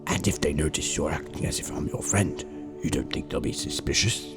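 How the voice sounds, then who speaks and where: A man playing a wise, secretive old prisoner in an amateur radio drama, speaking in a hushed, measured way. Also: rumbling low, loud music behind the voice. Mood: hushed caution.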